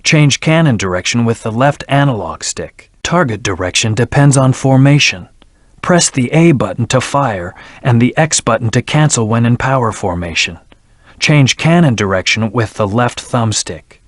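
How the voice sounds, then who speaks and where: A man's voice: mid-range voice, no echo, clean studio sound, lightly acted. A man voicing a brooding, stern hedgehog antihero in a video game, speaking in a terse, serious, controlled way. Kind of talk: video game voice acting, scripted hints and battle lines.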